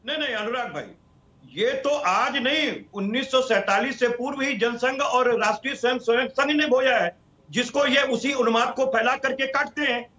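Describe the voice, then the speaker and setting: A man's voice: very high-pitched, moderate pace, sharp tone, dull audio. A man arguing as a party spokesman in oratorical, long listing sentences over a band-limited feed. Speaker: political party spokesman, debate guest. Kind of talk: political debate argument.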